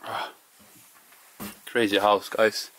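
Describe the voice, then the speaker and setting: A man narrating quietly to camera as he explores an abandoned house, musing in hushed. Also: on the low side, flat delivery, very slow. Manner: hushed, musing, non-native English phrasing. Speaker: urban explorer vlogger. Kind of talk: casual narration while exploring.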